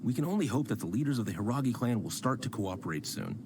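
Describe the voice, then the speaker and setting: A man's voice: medium pitch, expressive, fast talker, noisy background, lightly acted. An actor voicing a polite but firm soldier in a video game, formal and dutiful in his courtesy. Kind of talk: game voice acting.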